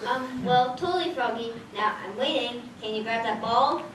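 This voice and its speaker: A woman's voice: fairly high pitch, normal speed, a bit bright, natural voice. A woman playing a spoiled young princess in a children's puppet show, with an exaggerated girlish delivery. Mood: impatient. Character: spoiled, flippant young princess with a golden ball. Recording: distant mic in noisy, crowded hall.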